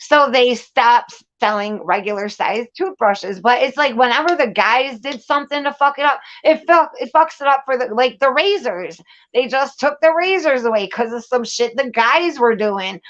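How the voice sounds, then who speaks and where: A woman's voice: on the high side, moderate pace, highs cut off. A woman chatting candidly and casually, telling stories in blunt, profane slang. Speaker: talk show panelist recounting prison life.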